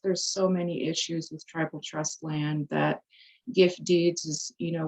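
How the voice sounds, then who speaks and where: Low, flat-voiced woman with a cold. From Umatilla Indian Reservation, Oregon. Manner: casual, many filler ums. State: recovering from a cold. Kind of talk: informal presentation in a town hall. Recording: muffled lo-fi audio.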